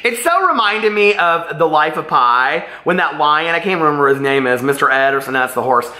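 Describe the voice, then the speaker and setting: A man's voice: on the high side, expressive, brisk, bright, forceful tone, reverberant. A man chatting casually and animatedly as a book vlogger, rambling off on tangents.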